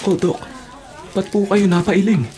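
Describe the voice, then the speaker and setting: A man's voice: sits high, steady tone, a little slow, darkish tone. An actor playing a humble, desperate father pleading for his sick child in a Filipino radio drama. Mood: anxious. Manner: pleading, deferential.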